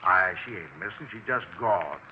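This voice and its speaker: An old, dull radio recording with a faint noise bed carries a gruff, surly landlord. His pitch moves around a lot as he stonewalls police, defensive and evasive in a folksy, ungrammatical way. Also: lively intonation, moderate pace. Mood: suspicious, impatient. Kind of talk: 1950s radio acting.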